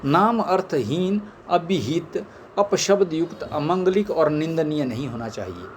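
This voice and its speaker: Higher-pitched man reading scripture, noisy recording. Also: a little mellow. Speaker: reader of scripture. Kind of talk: reading scripture aloud, with brief asides.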